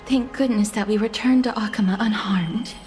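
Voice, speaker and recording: A woman's voice: mid-range voice, moderate pace, darkish tone, faint music behind, close to an everyday voice. A woman playing a dutiful, tactful young fighter in a video game, speaking formally and earnestly. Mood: concerned. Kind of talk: dramatic video game acting.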